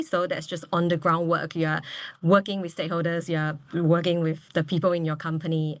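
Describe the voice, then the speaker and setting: A woman's voice: on the low side, no echo. A woman in her thirties from Singapore, speaking as an MBA graduate guest on a webinar. She talks frankly and cheerfully over a video call, and laughs easily. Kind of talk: candid webinar testimonial.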